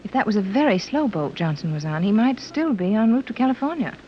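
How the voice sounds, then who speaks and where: Brief: woman as composed adventurer mother, medium pitch, old radio drama, muffled with slight hiss. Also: expressive, fast talker, lightly acted. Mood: earnest, composed. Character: concerned, composed mother leading shipwrecked explorers. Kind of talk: 1930s radio serial acting. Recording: muffled 1930s radio recording, light hiss.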